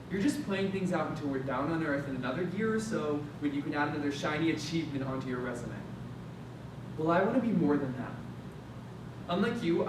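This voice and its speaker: Man playing sarcastic, bitter young space maintenance worker, stage reading, confrontational and slangy, heavy echo, noisy rumble behind. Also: lightly acted. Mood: accusing, bitter. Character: brash, resentful, foul-mouthed young male space maintenance worker. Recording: very echoey room, distant mic, noisy rumble.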